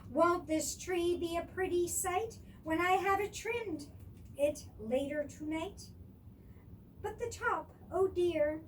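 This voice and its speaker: A woman's voice: very high voice, slow. A woman voicing a polite, fretful upstairs maid in a storybook, plaintive and genteel. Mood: hopeful, fretful. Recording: distant microphone with clear background noise.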